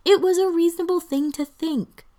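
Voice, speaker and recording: A woman's voice: on the high side, steady tone, a little fast, mellow, voice pushed up, close to an everyday voice. A woman voicing a lovestruck young man in a band, earnest and blurting, in a story reading. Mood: defensive.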